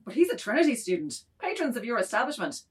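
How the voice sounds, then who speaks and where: A woman playing an anxious, placating middle-aged gentleman with urgent, reasoning delivery in a dramatic reading of literary fiction. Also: fairly high pitch, big pitch swings, fast talker, far from the mic.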